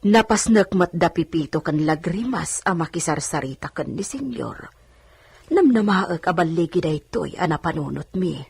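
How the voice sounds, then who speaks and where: A woman's voice: on the low side, expressive, moderate pace, gravelly, darkish tone, husky, heavily acted. A woman playing a deferential older household maidservant in a radio drama.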